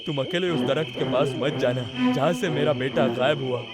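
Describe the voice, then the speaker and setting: A man playing a fearful, worried middle-aged father in an animated horror story. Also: mid-range voice, normal speed, close to an everyday voice. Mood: distraught. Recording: loud music bed behind the voice.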